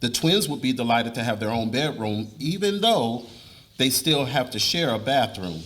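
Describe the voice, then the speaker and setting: Man acting an earnest husband, mid-pitched voice. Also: animated, moderate pace, fairly bright tone, natural voice. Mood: confessing, earnest. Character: earnest middle-aged husband and father confessing secrets. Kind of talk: live dramatic reading of a novel. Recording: live reading with room noise.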